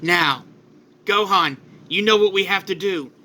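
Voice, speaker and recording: A man playing a resolute, loyal warrior brother, earnest and solemn, in an animated-style story. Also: high voice, measured pace, heavily acted.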